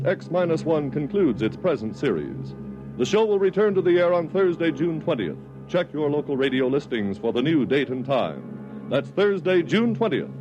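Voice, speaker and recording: A man's voice: mid-range voice, darkish tone, lo-fi sound. A man with an American accent announcing formally and emphatically in 1950s network radio style.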